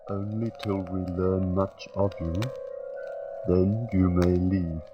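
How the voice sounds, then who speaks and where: An actor playing a solemn, curious alien creature who speaks in stilted, formal phrases in a radio serial. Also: male voice, deep voice, fairly even intonation. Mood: measured, bargaining. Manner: slow stilted formal speech. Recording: muffled, loud music behind.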